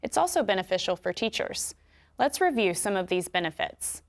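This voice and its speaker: A woman with an American accent giving scripted instructions in a clear, measured way. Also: medium pitch, sing-song. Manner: clear, measured explanatory delivery. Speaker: instructional video narrator.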